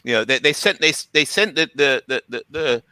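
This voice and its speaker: A man with a bright, forceful voice talks at length in a casual discussion. He explains in a halting way, often repeating words. The sound is dry, with very little room around him. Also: mid-range voice, expressive, no room sound. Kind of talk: casual conversational monologue.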